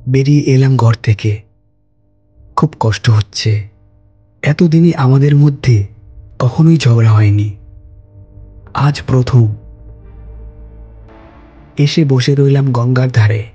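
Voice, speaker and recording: A man telling a first-person ghost story for a cartoon, calm and reflective. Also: mid-range voice, slow pace, pauses often, slightly rough, muffled recording, faint music behind.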